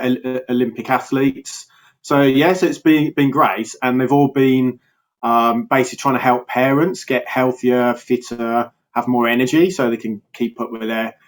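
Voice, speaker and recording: Birmingham-accented man, chatty guest. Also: mid-range voice. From England. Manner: chatty, laughs often, many restarts. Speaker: podcast guest, health coach. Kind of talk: casual interview chat.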